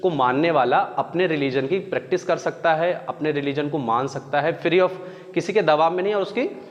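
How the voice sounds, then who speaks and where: A man's voice: fairly high pitch, moderate pace, muffled recording. A man with an Indian accent giving an explanatory lesson in a didactic way, restating terms as a teacher does. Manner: didactic, glosses terms in Hindi and English. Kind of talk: explanatory educational lecture. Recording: echoey hall-like reverb.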